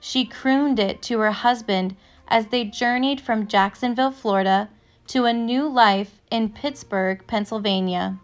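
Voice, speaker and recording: A woman narrator reading a children's picture book aloud from a script, with measured, careful phrasing. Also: fairly high pitch. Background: instrumental music.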